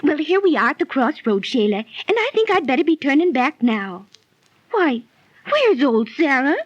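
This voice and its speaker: Superstitious village girl, very high voice, dramatic, 1930s radio play, dull dry recording. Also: very animated, normal speed, no room sound. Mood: fearful dislike.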